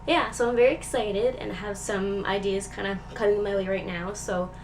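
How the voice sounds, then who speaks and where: A young woman talking straight to her viewers in a loose, rambling way, full of ums and likes. Her voice sounds stuffy and nasal from a cold. A steady hiss of background noise sits under the recording. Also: mid-range voice, moderate pace, rough recording. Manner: rambling, filler-heavy, chatty. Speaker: vlogger. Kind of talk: casual chat.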